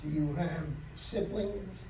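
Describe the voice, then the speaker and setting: A man's voice: fairly high pitch, slow, warm tone. A man hosting an interview, asking short, friendly questions and adding joking asides. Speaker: interview host. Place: large learning center room. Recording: echoey room from far off, clear background noise.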